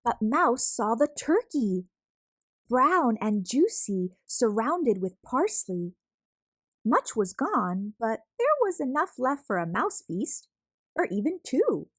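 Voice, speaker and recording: A woman reads a picture book aloud for children in an expressive, deliberate way. Her voice sits fairly high and moves with great animation, and the recording is close and dry. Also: dry recording. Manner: expressive, deliberate, drawn-out emphasis on words. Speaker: storyteller, read-aloud host.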